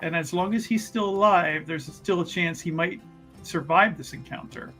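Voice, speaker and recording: A man's voice: sits high, normal speed, sharp tone, soft background music. A man chatting casually as a player in a tabletop roleplaying game, describing what happens to his character.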